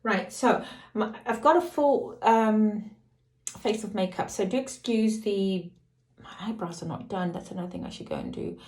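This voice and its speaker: A middle-aged woman with a slightly croaky voice, casually chatting to camera as a lifestyle vlogger, rambling off on tangents. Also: mid-range voice, sing-song, normal speed, darkish tone. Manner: rambling, digresses and apologizes. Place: home. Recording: echoey room.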